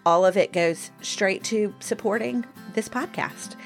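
A woman's voice: medium pitch, measured pace, soft background music. A woman hosting a podcast, making upbeat announcements and thanking supporters. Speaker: podcast host. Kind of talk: announcements and appeal.

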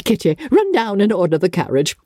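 A woman voicing a giddy, excitable middle-aged mother in a classic-novel audiobook, gushing with fussy, fluttery exclamations. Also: on the low side, a little fast, dry recording, clean studio sound, voice pushed up. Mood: bustling, fussy.

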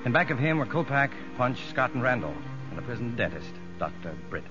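An actor playing a level-headed, earnest prison doctor who narrates a 1950s radio drama in measured, educated speech. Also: male voice, medium pitch, very animated, close to an everyday voice. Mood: grave narration. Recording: dull old radio recording, faint music.

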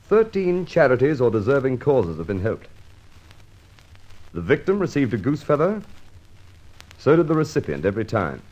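A man playing a sharp, dryly witty police inspector in an old radio drama, polite and deferential. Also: medium pitch. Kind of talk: 1940s radio acting. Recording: dull lo-fi old radio recording.

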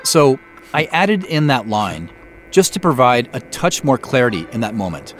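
A man's voice: medium pitch, big pitch swings, normal speed, a bit bright, slight background noise. A man giving scripted behind-the-scenes commentary as the creator of an audio drama.

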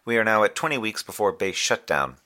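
A man playing a dutiful communications officer on a moon base, giving a measured, official status report. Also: on the low side, expressive, bright, forceful tone. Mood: formal, matter-of-fact. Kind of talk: audio drama status report.

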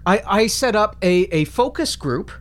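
An actor playing a nervous, awkward, earnest employee in a comedy audio drama, halting and stammering. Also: medium pitch, animated, lightly acted.

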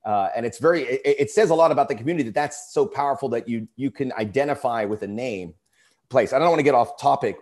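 Rambling male interviewer, mid-pitched voice. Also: a little mellow. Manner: rambling, with restarts and halting questions. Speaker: interview host. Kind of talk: casual interview talk.

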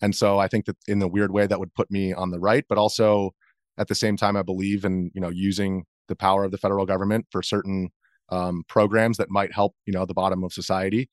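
A man in his thirties with a fairly low voice talks as a guest in a casual interview. He feels his way through answers in loose, wandering sentences, recorded close and dry. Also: flat delivery, a little fast, dry recording. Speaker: interview guest, video journalist.

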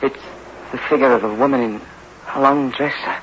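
A man's voice: mid-range voice, fairly even intonation, fairly bright tone, close to an everyday voice. Man playing a tense, frightened young prisoner. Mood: haunted fear. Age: young adult. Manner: anxious, deferential, halting. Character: frightened young male prisoner, pleading and haunted. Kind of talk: 1960s radio drama acting. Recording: muffled, noisy old radio recording.

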